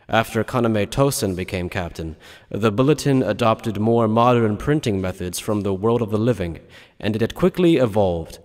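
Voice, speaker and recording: A man's voice: lowish voice, a little mellow. Male narrator in a long reverb. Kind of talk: scripted dramatic audiobook narration.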